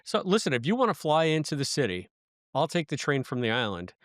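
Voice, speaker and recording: A man's voice: fairly high pitch, sing-song, a little fast, no room sound, clean studio sound. A man chatting casually as a podcast co-host, with joking asides.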